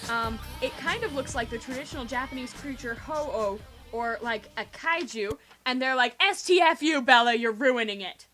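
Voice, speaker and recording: A young woman doing a theatrical, sarcastic comic dramatic reading in slangy language, recorded quietly on a homemade game microphone rig. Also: high voice, normal speed, faint music behind. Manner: theatrical, sarcastic, slangy, acts out quoted dialogue. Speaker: storyteller. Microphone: video game microphone with improvised pop filter.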